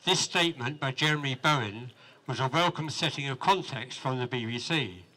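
An older man, around his seventies, reads a prepared statement at a rally. His voice is bright and forceful at a middling pitch. He speaks into a handheld mic out in a city street. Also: normal speed, rough recording. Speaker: campaign group officer reading a letter. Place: outdoors in a busy city street. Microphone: handheld microphone held close to the mouth.

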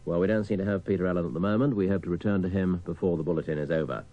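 A man's voice: sits low, steady tone, rapid-fire, dull audio. A man reading a radio news bulletin. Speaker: radio newsreader.

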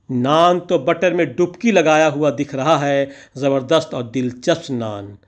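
A man narrating a vlog as a scripted voice-over, with ornate, poetic and theatrical phrasing. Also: on the high side. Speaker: vlogger narrating.

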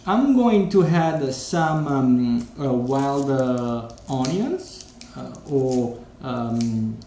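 A man in his forties with a Sicilian Italian accent, hosting a casual home cooking demonstration, unhurried with many hesitations. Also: medium pitch, animated, warm tone, roomy sound, a little hiss or hum. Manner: unhurried, hesitant with many fillers, relishing tastes. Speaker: cooking show host. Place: home dining room, indoors.

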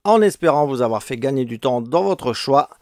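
Male narrator for scripted product review voice-over, mid-range pitch, very dry close sound. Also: animated, moderate pace, dry recording.

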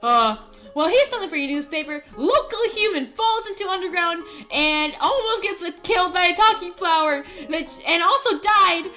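A woman's voice: extremely high pitch, animated, normal speed, pushed and bright, faint music behind. A woman doing casual, playful roleplay commentary over a video game, rambling and stammering as she goes. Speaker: gaming YouTuber.

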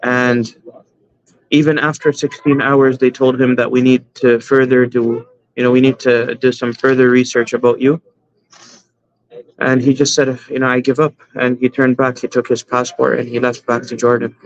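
A man in his thirties speaks over a video call from a room at home, earnest and measured, pausing with fillers between phrases. His voice stays flat in pitch. The audio is dull and lo-fi. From Canada. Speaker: imam, guest. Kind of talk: interview answers.

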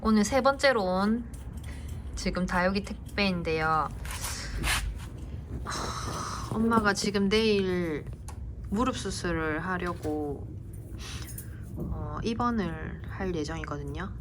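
A woman narrating a plant vlog in a gentle, unhurried way with small hesitations. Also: on the low side. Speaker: succulent vlogger. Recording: noisy home recording.

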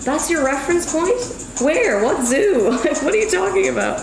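A woman's voice: very high-pitched, animated, normal speed. A woman in her twenties chatting casually and playfully as she co-hosts a livestream, with a teasing manner. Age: 20s. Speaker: co-host of a cooking livestream. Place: indoor home kitchen. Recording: echoey kitchen with music.

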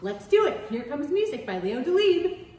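A woman in her thirties, a children's music teacher, singing and explaining songs in an animated, sing-song way. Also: sits high, moderate pace. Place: studio in front of green screen. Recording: very echoey room, faint hiss.

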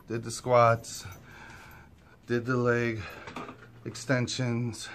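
A man's voice: mid-range voice, monotone, very slow, a bit bright, slight background noise. An American man in his thirties chatting casually to his own camera in a blunt, colloquial, grumbling way. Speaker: vlogger. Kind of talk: casual vlog chat. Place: home gym, kitchen, then moving car. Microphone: handheld phone or camera at arm's length.

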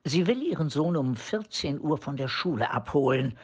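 A man's voice: on the high side, lively intonation, moderate pace, slightly rough, dull audio. A man reading scripted narration for a radio play.

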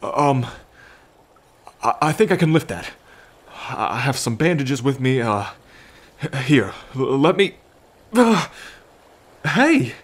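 Man acting a slow-spoken, gentle fisherman. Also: mid-range voice, sing-song. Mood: stunned, anxious. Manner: halting, stammering, reassuring. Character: gentle, anxious lone fisherman rescuing a merfolk. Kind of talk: ASMR roleplay.

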